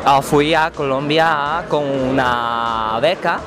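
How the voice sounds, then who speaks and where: A young man whose first language is Mandarin Chinese, answering interview questions at an expo with hesitant, halting phrasing. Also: medium pitch, crowd noise. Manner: hesitant, with frequent restarts. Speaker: student interviewee. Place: outdoors at an expo.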